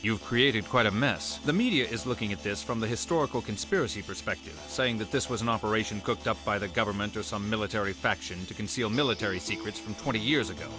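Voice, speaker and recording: A man's voice: on the low side, over music, lightly acted. Man as a cold, condescending agency chief. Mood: cold, reproachful. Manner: measured, formal, reproachful. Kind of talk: English anime game dub acting.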